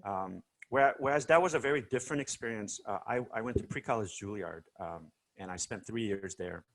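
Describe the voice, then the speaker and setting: A man's voice: on the low side, fairly quick. A man from South Korea being interviewed over a video call, telling stories casually with many fillers and restarts. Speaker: guest musician.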